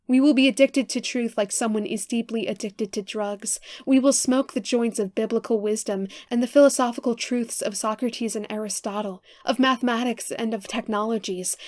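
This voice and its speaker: Higher-voiced woman reciting poetry, dry. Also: darkish tone, no echo. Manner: earnest, measured verse delivery. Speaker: poetry reader.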